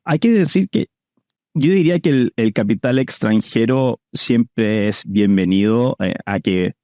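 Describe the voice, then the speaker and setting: A man's voice: mid-range voice, unhurried, warm tone, no room sound. A man with a Chilean accent giving conversational answers as an investor guest in an interview.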